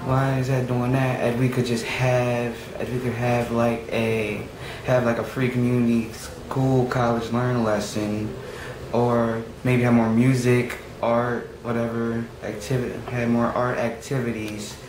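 A young man speaks earnestly in a fairly flat voice, circling back over his words. The room is echoey. Noise hums behind him. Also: mid-range voice, a little slow. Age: young adult. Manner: earnest, repeats himself. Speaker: youth program member. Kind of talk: speaking to camera about his community. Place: small room against a plain wall.

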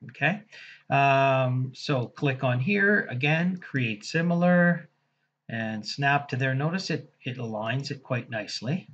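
Husky man walking through software steps. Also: medium pitch, expressive, slow pace, highs cut off. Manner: casual, thinking aloud while clicking through steps. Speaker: software instructor. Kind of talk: screen-recorded software tutorial.

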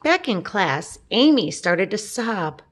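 A woman reading a children's picture book aloud, gently, with asides and questions to young listeners. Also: mid-range voice, very animated, slow pace. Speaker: storybook reader.

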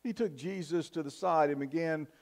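An older man preaching a sermon in a church, in a measured, earnest style with emphatic pauses. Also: fairly high pitch, a little mellow. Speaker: pastor. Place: church sanctuary, at the pulpit. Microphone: fixed camera from the back of the church.

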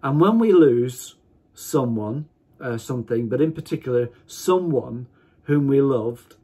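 A husky-voiced man in his fifties preaches informally, as if to a small online congregation. His pitch sits in the middle for a man, and he sets out his points emphatically, in short, deliberate phrases. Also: darkish tone. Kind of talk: informal sermon to camera. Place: small attic room at home, indoors.

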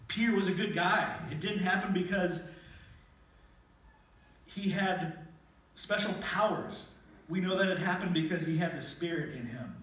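Earnest middle-aged preacher in an echoey sanctuary. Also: male voice, fairly high pitch. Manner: earnest, reads scripture aloud with rising and falling emphasis. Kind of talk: sermon with scripture reading. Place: church sanctuary. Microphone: pulpit mic, filmed from a far camera. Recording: very echoey hall from far off.

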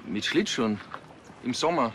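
An actor playing a puzzled, cooperative diving school owner, in a natural series style. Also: male voice, medium pitch, expressive, moderate pace, fairly bright tone, slight background noise, close to an everyday voice. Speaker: actor in a police comedy series.

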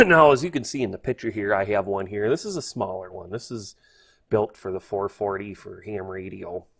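A man's voice: lowish voice, normal speed, gravelly, fairly bright tone, slightly rough. A man hosting a casual hobby tutorial, thinking aloud in a hesitant, folksy way. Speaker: host, hobbyist instructor. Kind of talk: casual spoken tutorial over screen.

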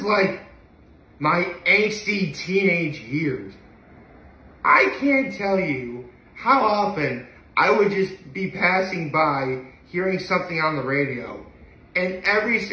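A man's voice: a little high, pushed and bright. A young man chatting casually and enthusiastically to camera in a rambling, conversational way, recorded in a living room from a few feet away. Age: young adult. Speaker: vlogger. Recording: echoey room, mic at a distance.

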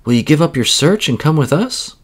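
A man as a high-pitched, inviting boatwoman. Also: big pitch swings, a little fast, slightly rough. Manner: inviting, calling out. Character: friendly woman boater inviting a child along.

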